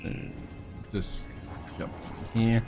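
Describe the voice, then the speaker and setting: A man with a croaky, congested voice from a cold, casually commenting on his gameplay and thinking aloud as he goes. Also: slow pace, over music. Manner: rambling, thinks aloud with fillers and restarts; reads on-screen game text aloud.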